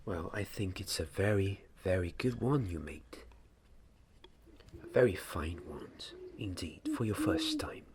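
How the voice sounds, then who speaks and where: Casting: young man as kindly fantasy wand maker and mentor, low soft breathy voice, unhurried hesitant delivery, ASMR roleplay. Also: huge pitch swings, heavily acted. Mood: curious, approving. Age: young adult. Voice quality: soft, breathy, partly whispered. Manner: slow, hesitant, deliberate. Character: gentle, patient male wand maker mentoring an apprentice. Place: small dim indoor room. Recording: clear background noise and ambience.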